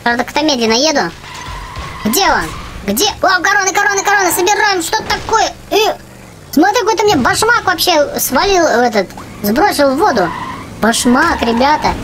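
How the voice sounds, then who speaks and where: A woman hosting a gaming channel for children, giving chatty, excitable running commentary on her play. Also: high-pitched, normal speed, low-quality recording. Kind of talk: casual game commentary for children. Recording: game music behind the voice. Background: video game music.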